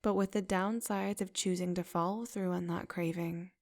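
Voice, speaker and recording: A woman leading a guided meditation in a soft, unhurried, affirming way. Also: on the low side, steady tone.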